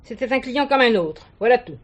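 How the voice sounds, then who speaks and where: A woman's voice: fairly high pitch, expressive, a little fast. An offended, stubborn serving woman. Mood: curt, evasive. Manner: curt, reproachful, guarded. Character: offended, stubborn unmarried woman who serves customers. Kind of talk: French stage or TV play acting.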